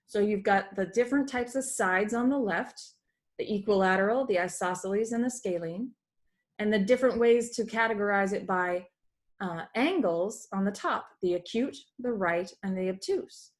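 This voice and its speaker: A woman's voice: mid-range voice, moderate pace. A woman teacher giving an explanatory read-aloud lesson for children, recorded at a computer in a room at home.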